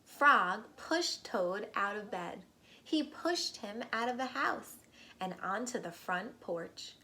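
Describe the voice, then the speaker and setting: A woman reading a storybook aloud to young children, expressive and pausing to ask the listeners questions. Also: medium pitch, a little hiss or hum.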